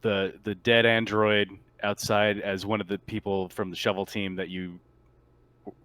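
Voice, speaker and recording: A man's voice: on the low side, flat delivery, measured pace, fairly bright tone, smooth, dry recording. A man acting as game master, narrating a tabletop roleplaying game and talking with the players.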